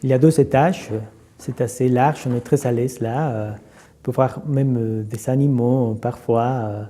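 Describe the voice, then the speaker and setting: Halting man with a dark voice. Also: mid-range voice, fairly even intonation. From Argentina. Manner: halting, many fillers and repeated words. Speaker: literary translator. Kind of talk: reflective interview.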